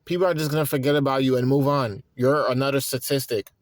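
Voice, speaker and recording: A man in his thirties, tired and stressed, talking in a rambling, halting way with frequent restarts in a reflective personal monologue. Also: medium pitch, steady tone, dry recording. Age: 30s. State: tired and worn out. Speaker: vlogger.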